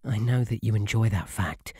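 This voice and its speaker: A man with a British accent playing a shy, devoted, submissive bunny butler in an intimate ASMR roleplay, softly spoken with a formal, deferential, faltering manner. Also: lowish voice, normal speed, husky, no room sound, studio quality. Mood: embarrassed, shy. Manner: flustered, deferential, formal, stammering. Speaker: roleplay audio performer. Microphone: close, dry microphone.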